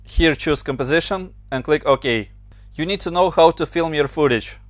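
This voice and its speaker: Ukrainian-accented male tutor, a bit higher voice, very dry close mic, patient step-by-step walkthrough. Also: normal speed, no room sound. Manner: plain, step-by-step instructions with slightly non-native grammar. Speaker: motion designer and tutorial maker. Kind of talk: screen-recorded software tutorial.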